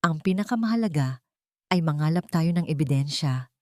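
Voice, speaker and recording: A woman voicing a serious, fatherly old male lawyer in an audio story, grave and deliberate. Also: low-pitched, expressive, no room sound, studio quality. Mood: grave, urging.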